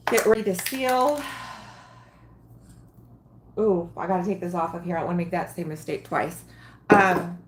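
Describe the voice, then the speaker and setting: A woman in her late forties chats her way through a crafting livestream, unhurried and rambling, full of ums and little giggles. Her mid-range voice carries an echo off the room around her. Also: medium pitch, a little hiss or hum. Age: 40s. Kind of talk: casual crafting livestream chat.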